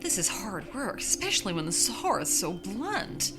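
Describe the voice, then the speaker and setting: A woman's voice: medium pitch, sing-song, normal speed, natural voice. A woman playing a gleefully morbid former stage actress who hosts creepy stories with dramatic flourish. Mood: wistful, morbid. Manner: theatrical, dramatic, exclaiming. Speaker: host of a creepy stories podcast. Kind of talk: in-character horror host monologue. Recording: loud music behind the voice.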